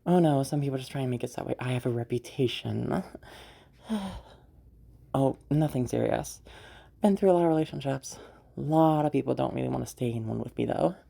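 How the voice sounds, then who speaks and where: A young woman acting in an audio roleplay as a caring, teasing party host, talking in a rambling, hesitant, softly reassuring way. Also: extremely low pitch, a little fast, creaky, full character voice. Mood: wistful. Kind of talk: audio roleplay, naturalistic one-sided dialogue.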